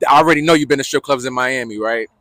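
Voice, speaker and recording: A man's voice: mid-range voice, rapid-fire, a bit bright, no echo. A man co-hosting a podcast who steers the casual chat with direct questions. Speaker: podcast co-host.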